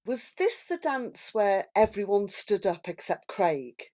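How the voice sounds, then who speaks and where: A woman chatting casually as a podcast panellist, telling things in an animated way. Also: mid-range voice, slow. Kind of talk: casual chat.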